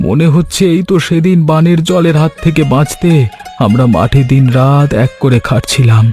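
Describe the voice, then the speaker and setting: A man voicing an earnest, caring village husband and farmer in a Bengali cartoon story, with a sentimental, measured delivery. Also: fairly high pitch, fairly even intonation, mellow, faint music behind, close to an everyday voice. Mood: reflective, sincere. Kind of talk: Bengali animated moral story dialogue.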